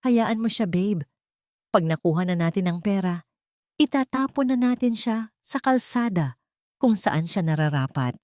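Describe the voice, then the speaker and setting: A woman's voice: medium pitch, expressive, measured pace, a little mellow, no room sound, clean studio sound, natural voice. A woman voicing a selfish, impatient cheating husband in an audio drama, with a complaining, callous manner.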